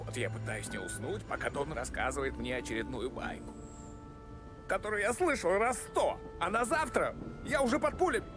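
An actor playing a blunt, coarse, tired small-time gangster of almost forty in a crime game, over loud music. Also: male voice, a little high, expressive, normal speed, natural voice. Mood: fed up.

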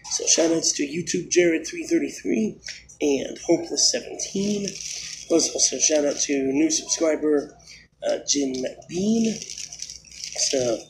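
A man's voice: fairly high pitch, darkish tone, slight background noise, poor audio. A man in his thirties with a cold, talking in a nasal voice as he rambles through a casual food review vlog on a close phone camera, indoors. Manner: rambling, many fillers and restarts. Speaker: vlogger. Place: indoors, small room.

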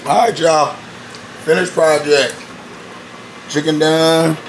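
A man in his thirties, a little hoarse, rambling loosely and slangily in a home-cooking vlog recorded on a handheld phone in his kitchen. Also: a little high, fairly even intonation, takes it slowly, a little hiss or hum, poor audio. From Evansville, Indiana, US. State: a bit hoarse. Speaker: cooking vlogger. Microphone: phone held at arm's length.

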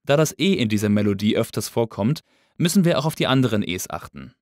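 A man narrating a scripted tutorial as an instructor, explaining things clearly and methodically. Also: fairly low pitch, sing-song, fast, darkish tone, dry recording, clean studio sound. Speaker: audio engineering instructor.

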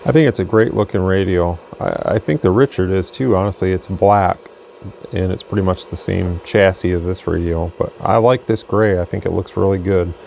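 Male demonstrator, low and mellow, off-the-cuff delivery, audible noise behind him. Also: moderate pace, creaky. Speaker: radio product demonstrator. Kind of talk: casual unscripted hands-on demo narration. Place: indoor electronics workbench. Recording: noticeable background noise.

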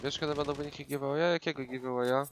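A man's voice: medium pitch, steady tone, very fast, a little hiss or hum. Polish guy streaming a shooter, headset mic at home, chatty and loose with fillers, faint gunshots under him. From Poland. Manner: casual, switches between English and Polish, many fillers. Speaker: gaming streamer. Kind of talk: live gameplay commentary.

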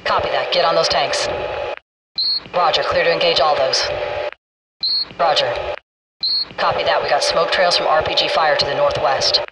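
An actor playing a businesslike military gunship fire control officer, giving clipped, commanding radio callouts in a video game. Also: male voice, fairly high pitch, fairly even intonation, normal speed, pauses often, lightly acted. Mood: focused. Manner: terse, commanding. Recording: distant military radio, loud aircraft cabin noise.

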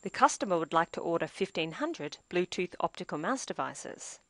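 A woman with a somewhat low voice narrates a software demonstration from a script. She explains each step in a measured way. The sound is close and dry, with very little room in it. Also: very animated, dry recording. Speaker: software demo presenter.

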